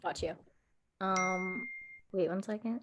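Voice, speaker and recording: A woman's voice: lowish voice, slow, background noise. A woman, chatting informally on a video call and often saying "like". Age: early 20s. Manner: informal, peppered with "like". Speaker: host and contestant of a dating show. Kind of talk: casual chat on a video call. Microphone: video call microphone.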